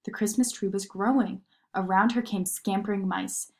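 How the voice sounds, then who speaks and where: A woman narrating a children's story in an expressive read-aloud style. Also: medium pitch, normal speed, vocal fry.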